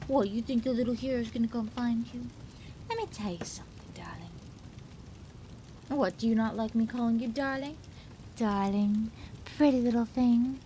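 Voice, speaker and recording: A high-pitched, unhinged villainess acted by a woman toys with her prey in a theatrical ASMR roleplay. She teases in a gleeful sing-song, prone to giggles. There is audible noise behind the voice. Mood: gleeful taunting, then menacing, teasing. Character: gleeful, taunting, unhinged female supervillain toying with captive.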